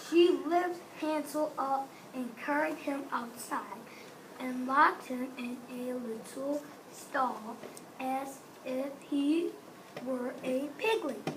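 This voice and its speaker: A woman storyteller reading a fairy tale aloud, haltingly, repeating and correcting phrases. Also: sits high. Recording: noisy room recording with hiss.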